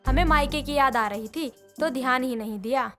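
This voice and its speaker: A woman voicing a cheeky young daughter-in-law with polite excuses in an animated moral story. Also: high-pitched, normal speed, close to an everyday voice. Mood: mock-apologetic. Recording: music behind the voice.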